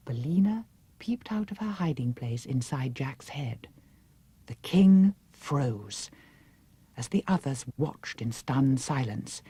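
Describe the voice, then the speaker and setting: A woman with a British accent narrating a children's audiobook in an expressive, hushed and suspenseful manner. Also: low-pitched, husky. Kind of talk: dramatic children's story narration.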